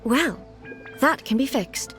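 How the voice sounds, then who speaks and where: A woman playing a serene, dignified but curious female ruler in a video game, speaking politely and with measured composure. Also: high-pitched, lively intonation, fairly bright tone, exaggerated voice. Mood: composed. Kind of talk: video game character acting. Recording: background music under the voice.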